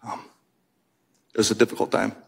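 A man in his thirties from Wisconsin testifying at a hearing desk microphone, giving terse, quiet, measured answers. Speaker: whistleblower witness. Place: congressional hearing room.

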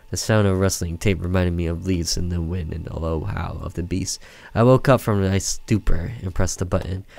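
A man's voice: sits low, creaky, darkish tone, no echo. A man reading a horror visual novel aloud as a gaming video narration, in a storytelling style.

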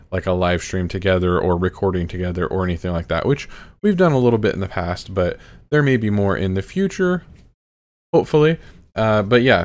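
A man in his thirties reviewing his microphone to a webcam in a small home room, rambling casually and correcting himself. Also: on the low side. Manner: rambling, self-correcting, apologetic asides. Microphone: studio condenser mic close to face.

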